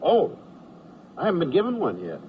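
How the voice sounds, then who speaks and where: An actor playing a polite, hapless newly drafted war correspondent, narrating and acting in a wry radio comedy. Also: male voice, fairly high pitch, huge pitch swings, normal speed. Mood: meek, flustered. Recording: dull, noisy radio recording.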